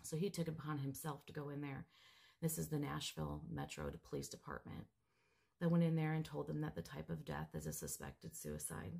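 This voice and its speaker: A mother, hoarse and raspy from a cold, earnestly reading out a report and stumbling over medical terms. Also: sits low, expressive, brisk, dark tone. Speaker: grieving mother seeking justice. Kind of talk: direct-to-camera update, reading from a report.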